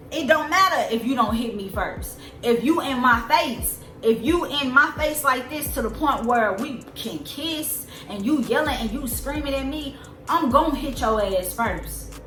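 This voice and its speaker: Young woman, soft squeaky voice, rambling casual storytelling, roomy echo, loud music behind. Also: expressive, unhurried. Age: 20s. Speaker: vlogger.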